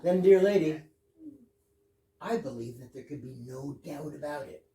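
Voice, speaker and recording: A man acting a polite, formal husband in a stage reading of an absurdist comedy, deliberate and mock-astonished. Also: fairly high pitch, expressive, warm tone, natural voice. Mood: growing astonishment. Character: polite British husband, a formal gentleman puzzled by coincidences. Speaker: amateur readers theater actor. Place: live stage reading in a room. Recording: roomy, distant mic with clear noise.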